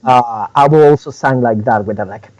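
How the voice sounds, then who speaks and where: A man's voice: mid-range voice, a little slow, creaky. A man from Spain, a musician talking as an interview guest, hesitant with many fillers and restarts. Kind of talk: casual interview chat.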